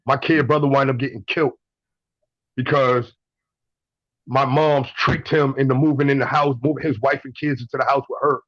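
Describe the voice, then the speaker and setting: A man's voice: medium pitch, steady tone, normal speed, a bit bright, no echo. Dull-sounding man in his twenties calling in. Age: 20s. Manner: blunt, swears, repeats words while telling stories. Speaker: caller. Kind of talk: casual call-in story.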